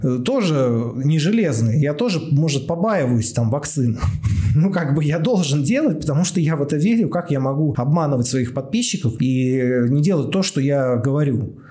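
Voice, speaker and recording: A man's voice: a little high, lively intonation, moderate pace, dark tone. A man hosting a casual, improvised podcast in a rambling, blunt way, repeating words for emphasis. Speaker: podcast host, doctor.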